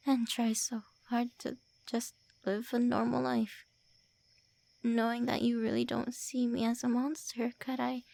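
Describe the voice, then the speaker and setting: A bashful tsundere vampire lady, voiced by a woman in an ASMR roleplay, stumbling over her words and teasing the listener. She speaks in a higher, gravelly whisper and takes her time. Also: dark tone, no room sound. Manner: whispery, stammering, brusque teasing. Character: tsundere female vampire lord, centuries-old, looks early twenties, flustered, insulting.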